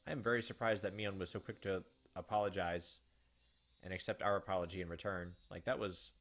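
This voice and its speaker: A man's voice: sits low, steady tone, a little fast, fairly bright tone. A man hosting a gaming video, reading a visual novel aloud and adding casual commentary over game music. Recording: music behind the voice.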